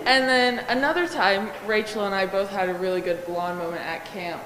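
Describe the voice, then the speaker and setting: A teenage cheerleader answering interview questions casually, giggling often. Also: female voice, medium pitch, moderate pace. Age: teens. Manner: giggly, relaxed. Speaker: cheerleader guest. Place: large classroom. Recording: echoey hall with background noise.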